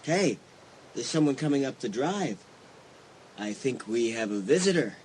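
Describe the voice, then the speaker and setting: A man's voice: mid-range voice, animated, a little slow, noisy background, natural voice. An actor playing an easygoing, protective young man in a horror audio drama.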